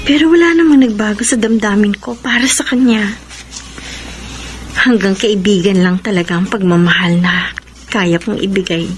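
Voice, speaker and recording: A woman acting a sweet, lovelorn young woman in a Filipino radio drama, with emotive, drawn-out delivery and a Filipino accent. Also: mid-range voice, natural voice. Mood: wistful. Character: young woman Jessica, sweet, torn, pining for absent love.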